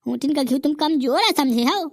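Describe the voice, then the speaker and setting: A boastful old village grandfather, voiced high and bright by a woman, ranting in a thick Bundelkhandi accent. He brags and grumbles in rustic dialect. The recording is close and dry, with no room sound. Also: expressive, moderate pace, no echo. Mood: bragging. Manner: bragging, rustic dialect rants. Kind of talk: cartoon comedy voice acting.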